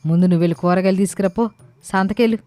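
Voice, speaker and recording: A woman's voice: medium pitch, steady tone, very fast, mellow, no room sound, faint music behind, lightly acted. A woman playing a bossy, sensible older village aunt who talks in colloquial, scolding, speech. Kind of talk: animated moral-story dialogue.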